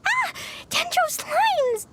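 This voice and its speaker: A woman playing a cheerful, naive, excitable little girl in an anime video game, with simple, childlike phrasing. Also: extremely high pitch, very slow, full character voice. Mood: excited. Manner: childlike, bubbly, simple wording. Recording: music behind the voice.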